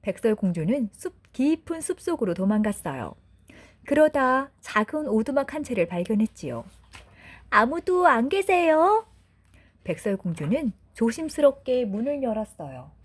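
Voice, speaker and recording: A woman reads a bedtime fairy tale to children. Her voice is fairly high with very varied intonation, and her delivery is gentle and deliberate. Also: sing-song, breathy edge. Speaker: storyteller mother.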